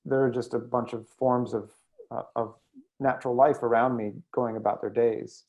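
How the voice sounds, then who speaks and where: An American man speaking as a guest in an interview, reflective and hesitant, often pausing mid-sentence as he thinks. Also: medium pitch, vocal fry, a little mellow. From United States. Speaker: guest poet and editor. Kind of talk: thoughtful interview conversation.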